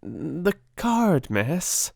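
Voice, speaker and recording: A man's voice: on the high side, very animated, very slow, gravelly, a little mellow, no room sound, studio quality, voice pushed up, full character voice. A man voicing a humble, timid young servant girl with a working-class English accent, stammering and deferential, in an audiobook. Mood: anxious, earnest. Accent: working-class English dialect.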